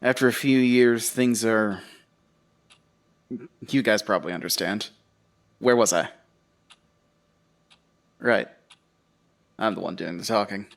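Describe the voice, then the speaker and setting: An actor playing a weary, uneasy survivor who tells his story in a halting, confessional way for an audio drama. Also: on the low side, gravelly. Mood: weary, reflective. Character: weary, uneasy male survivor recounting a horror ordeal. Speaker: narrator and lead character. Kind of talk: audio drama first-person narration.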